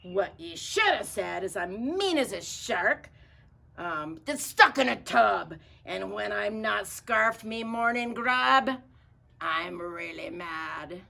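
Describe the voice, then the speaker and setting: A woman voicing a blustery, impatient male captain in a children's storybook, declaiming and shouting in slang. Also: mid-range voice, expressive, slow pace, gravelly, heavily acted. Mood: scolding. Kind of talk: children's storybook read-aloud.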